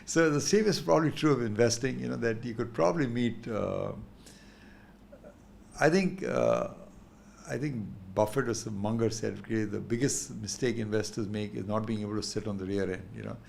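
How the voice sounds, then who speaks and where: Gravelly-voiced Indian man in his sixties. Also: fairly low pitch, animated, darkish tone, a little hiss or hum. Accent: Indian English. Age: 60s. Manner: thoughtful, unhurried, reflective. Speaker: guest investor. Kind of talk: candid interview talk.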